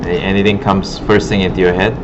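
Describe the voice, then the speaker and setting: A man's voice: deep voice, flat delivery, fast talker. A man working as an art instructor, giving a casual classroom demo and thinking aloud informally as he works. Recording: muffled, noisy broken classroom audio.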